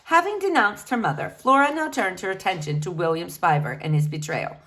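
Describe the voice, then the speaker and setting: A woman reading a children's novel aloud as expressive storytelling narration. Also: mid-range voice, a little fast. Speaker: storybook reader.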